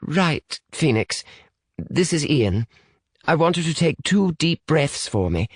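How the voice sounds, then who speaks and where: Formal young British man, played by a male narrator, raised pitch, clipped and anxious, for an audiobook. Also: sing-song, takes it slowly, breathy edge, no echo, studio quality, exaggerated voice. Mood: soothing. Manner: stiff, formal, precise wording.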